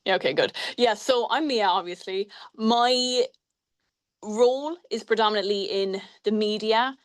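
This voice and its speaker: A woman from broadcasting introduces herself in a brisk, confident way. She talks over a video call. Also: mid-range voice. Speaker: LGBTQ media broadcaster. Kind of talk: panel self-introduction.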